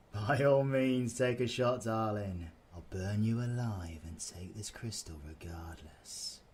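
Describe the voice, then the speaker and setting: A British man playing a cocky, menacing supervillain in an audio roleplay, weary and flirtatiously taunting, often dropping into breathy murmurs. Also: on the low side, darkish tone, slight background noise. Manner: slow, teasing, theatrical, with pet names. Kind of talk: audio roleplay acting, villain ASMR.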